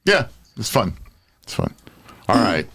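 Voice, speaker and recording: A man's voice: fairly low pitch, huge pitch swings, unhurried, fairly bright tone, dry recording. A middle-aged or older man from Southern California with an American accent, co-hosting a podcast in casual, opinionated chat. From Southern California, USA. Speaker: podcast co-host.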